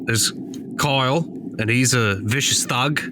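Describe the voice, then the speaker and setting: A man's voice: medium pitch, unhurried, background noise. A man improvising casually as a tabletop game player, rambling and hesitant as he talks. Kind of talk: casual improvised role-play chat. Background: background music.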